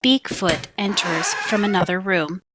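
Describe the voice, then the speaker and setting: A woman's voice: medium pitch, a little slow. A woman reading stage directions as scripted narration for an audio play. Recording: very noisy with clicks and thunks.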